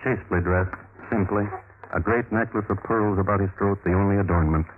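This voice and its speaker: A man playing a dry, droll crime connoisseur, narrating a 1950s radio drama in measured deadpan. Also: lowish voice, a little hiss or hum, lightly acted. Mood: wry, deadpan. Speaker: host and narrator. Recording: muffled vintage radio recording, music behind.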